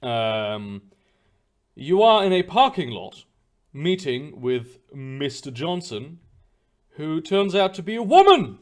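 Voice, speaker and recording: Male game master, lively pitch, rambling delivery, home voice chat. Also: slow pace. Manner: rambling, animated, reads mission briefs aloud. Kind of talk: running a tabletop game.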